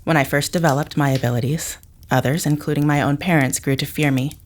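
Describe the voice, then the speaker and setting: A woman's voice: deep voice, fairly even intonation, fairly quick. A woman playing a reserved, rational psychic mother in an audio drama, speaking formally and precisely. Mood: reserved, explaining.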